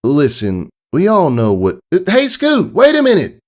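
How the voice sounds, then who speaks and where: A man's voice: a little high, huge pitch swings, moderate pace, pitched above the performer's own voice. A man voicing a friendly farm mare, a big sister with folksy, plain-spoken country talk, in a storybook reading. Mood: uneasy.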